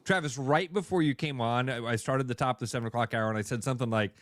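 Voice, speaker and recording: A man's voice: mid-range voice, fast talker, no room sound. A man co-hosting a radio show, joking and telling stories in a studio. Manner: joking, storytelling. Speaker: radio co-host. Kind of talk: casual chat.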